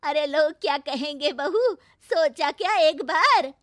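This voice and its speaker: A woman voicing a traditional old village mother-in-law in an animated story, with a sarcastic, drawn-out manner. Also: high voice, no room sound, clean studio sound, exaggerated voice. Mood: shocked, scolding. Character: scolding, traditional old village mother-in-law, tender toward her son. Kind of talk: animated moral story acting.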